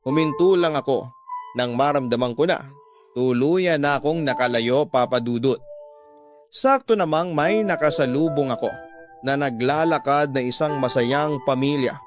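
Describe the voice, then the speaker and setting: A man's voice: mid-range voice, normal speed. Male drama narrator over music. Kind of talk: scripted first-person emotional story narration. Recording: music bed behind the voice.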